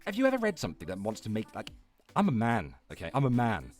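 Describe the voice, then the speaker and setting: A man's voice: lowish voice, huge pitch swings, quick pace, faint music behind. A man hosting a livestream with mocking reaction commentary, foul-mouthed, exasperated and sarcastic. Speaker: livestream host.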